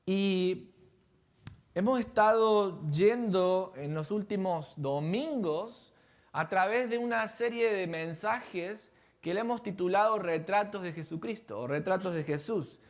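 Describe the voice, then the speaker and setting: A man with an Argentine accent, a pastor preaching a sermon to his congregation and asking them questions as he goes. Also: high-pitched. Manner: conversational, engages congregation with questions. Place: church sanctuary.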